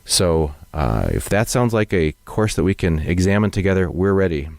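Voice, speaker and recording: A male host welcoming a guest and asking long, measured questions, often pausing with fillers. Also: deep voice, lively intonation, darkish tone, no room sound. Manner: measured, wordy, many fillers. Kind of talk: interview hosting.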